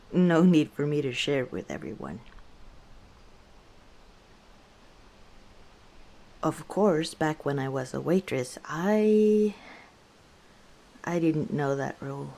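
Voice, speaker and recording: A woman playing a guarded, gently wry orc tavern owner in an intimate ASMR roleplay, speaking reflectively with hesitant pauses. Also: sits low. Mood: wry, easygoing. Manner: unhurried, reflective, hesitant pauses, soft chuckles.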